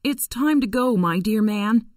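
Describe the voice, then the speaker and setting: A woman's voice: medium pitch, expressive, a little slow, dry recording, studio quality, voice pushed up, close to an everyday voice. A woman voicing a distressed, kind-hearted farm mistress in an audiobook story, anxious and earnest. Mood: sorrowful, earnest.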